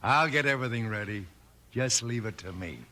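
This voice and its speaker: A man's voice: medium pitch, animated, fairly quick, lightly acted. An actor playing a sly, surly American villain's henchman in an old radio western. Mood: sly, sinister. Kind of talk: 1950s radio western acting. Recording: noisy old 1950s radio recording.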